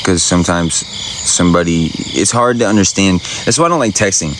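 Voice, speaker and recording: A man with a very low voice chats to his viewers in a loose, rambling, slangy way. He is streaming from a phone outdoors at night, with crickets and insects loud behind him. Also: fairly quick, a little hiss or hum. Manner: laid-back, rambling, slangy. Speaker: livestreamer. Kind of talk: casual livestream chat answering viewers.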